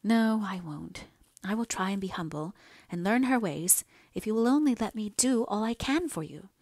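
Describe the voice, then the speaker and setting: A woman's voice: medium pitch, expressive, normal speed, a little mellow, dry recording, clean studio sound. A woman voicing a devoted young daughter in an audiobook, earnest, fervent and pleading. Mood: humble, earnest. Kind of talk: dramatised audiobook dialogue.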